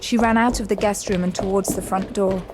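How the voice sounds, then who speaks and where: A woman's voice: medium pitch, steady tone, a little fast, background noise. A woman giving scripted third-person narration for an audio drama. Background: music.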